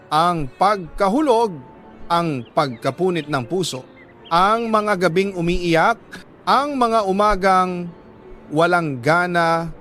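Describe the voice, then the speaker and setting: Slow, higher-voiced Filipino man telling a story. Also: a bit bright. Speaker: radio-style storyteller reading a listener's letter. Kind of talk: scripted dramatic first-person love story narration.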